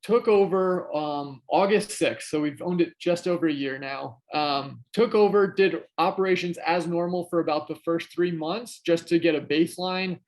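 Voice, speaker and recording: This is a man with a fairly high speaking voice, talking as a guest on an investing podcast. He tells his story in a loose, chatty way, full of "um"s and "you know"s and restarting his sentences. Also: moderate pace, few pauses. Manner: chatty, many fillers and restarts. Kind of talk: casual conversational storytelling.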